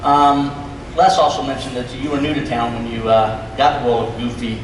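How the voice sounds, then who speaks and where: A man's voice: mid-range voice, little pitch movement, brisk. A man hosting a live on-stage interview panel, chatting informally and putting questions to his guests. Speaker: panel host interviewing voice actors. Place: large convention hall stage. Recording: echoey hall from far off, noisy.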